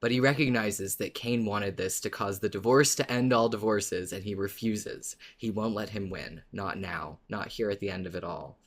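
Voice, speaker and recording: Mid-pitched podcast co-host, informal and expressive. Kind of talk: casual fan discussion, partly reading a written recap.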